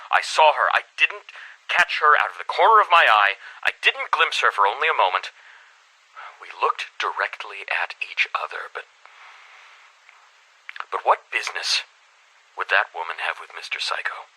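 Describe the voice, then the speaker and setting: Troubled middle-aged headmaster, game audio diary; forceful, husky, lowish voice, halting delivery. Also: male voice, big pitch swings, full character voice, poor audio. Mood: agitated, determined. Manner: halting, troubled, repeats words for emphasis. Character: anxious middle-aged school headmaster confiding in a tape recorder. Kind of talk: dramatic audio-diary monologue.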